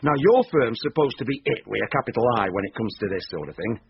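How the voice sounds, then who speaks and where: An actor playing a shady, blunt boss who likes running things, brusque and plain-spoken, in a radio drama. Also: male voice, medium pitch, animated, fast, vocal fry, breathy edge, lo-fi sound, full character voice. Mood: businesslike, commanding.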